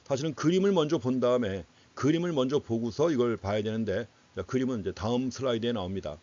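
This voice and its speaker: A man's voice: medium pitch, fast talker, dark tone. A man giving an explanatory economics lecture in a didactic way, putting questions to students and repeating key phrases for emphasis. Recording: dull, lo-fi lecture audio.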